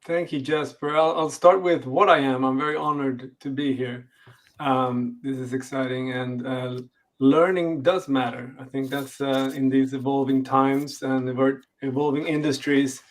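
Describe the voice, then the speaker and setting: A man's voice: mid-range voice, steady tone, measured pace. A middle-aged man with a Swedish accent, a company executive answering interview questions over a video call, hesitant with lots of fillers and restarts. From Sweden. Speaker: games company executive guest.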